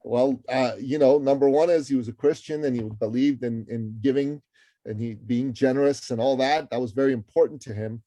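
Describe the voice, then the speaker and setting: A middle-aged man from Iran speaks as an interview guest, his voice mellow and dark. He talks with energy and emphasis, stacking phrases and repeating words as he builds his point. The sound is dry and close, with little room. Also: mid-range voice, fairly even intonation, brisk, no room sound. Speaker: guest, author and entrepreneur. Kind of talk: interview conversation.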